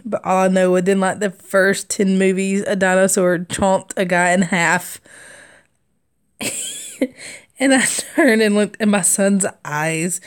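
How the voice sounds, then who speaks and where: A woman's voice: medium pitch, clean tone. A woman in her thirties with a Southern US accent, chatting casually and laughing often as she hosts a live stitching stream and reads out viewer comments. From Southern United States. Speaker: cross-stitch livestream host.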